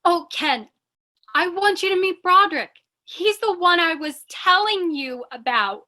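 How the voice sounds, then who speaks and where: Woman doing a high bright voiced radio play dame, plucky, coy, acting all prim and dignified. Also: lively intonation, unhurried, full character voice. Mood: pointed, anxious. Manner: theatrical, coy, mock-dignified. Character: plucky woman posing as a ladylike gang boss.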